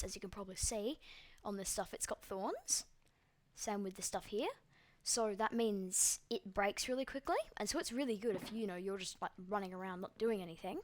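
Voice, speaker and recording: Rambling young Australian woman gamer. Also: medium pitch. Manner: rambling, full of ums and likes, swears freely. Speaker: gaming video creator. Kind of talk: casual gameplay commentary.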